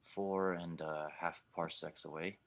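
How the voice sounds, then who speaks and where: A man's voice: low-pitched, steady tone, unhurried, creaky. A man playing a blunt, protective, reassuring starship pilot in an audio drama table read. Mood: grave, blunt. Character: gruff, steady older male starship pilot, protective of his companion.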